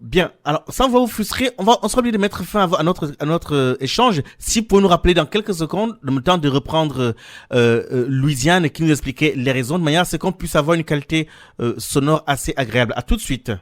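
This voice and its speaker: A man hosting a radio talk show, formal and measured, steering callers and guests with questions. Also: fairly high pitch, expressive. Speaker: radio host.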